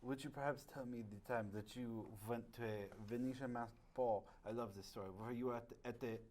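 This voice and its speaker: A man's voice: medium pitch, fairly even intonation, a little fast, darkish tone, natural voice. A man playing a courtly storyteller who speaks in a formal, old-fashioned way.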